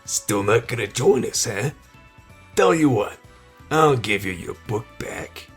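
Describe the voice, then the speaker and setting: Man acting out a husky cartoonish teenage school bully. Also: mid-range voice, expressive, slow, soft background music. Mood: taunting. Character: cocky teenage school bully who turns cowardly and grovelling. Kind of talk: anime fan audio roleplay.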